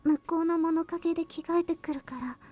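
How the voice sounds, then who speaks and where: A woman voicing a petite, quiet, caring schoolgirl in a visual novel, soft-spoken and hesitant. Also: very high voice, steady tone, very fast. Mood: quiet, matter-of-fact. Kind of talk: Japanese visual novel voice acting.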